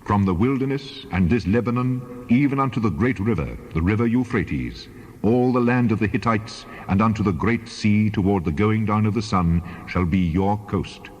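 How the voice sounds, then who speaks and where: An actor voicing God as a commanding, reassuring presence, solemn and measured in a dramatized scripture reading. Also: male voice, lowish voice, lively intonation, darkish tone. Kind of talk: dramatized audio Bible. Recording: long reverberant hall.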